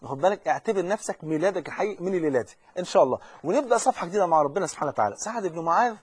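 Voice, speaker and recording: A man's voice: high-pitched, expressive, racing, slightly rough. A man with an Egyptian accent preaching a religious lesson, fervent and urgent, speaking straight to the listener and often repeating or restarting phrases. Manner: frequent repetitions and restarts.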